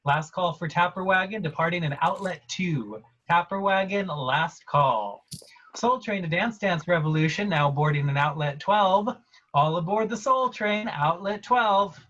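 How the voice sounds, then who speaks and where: A man's voice: sits high, unhurried, fairly bright tone, highs cut off. A man playing a formal public-address announcer at a busy transit station in a script reading.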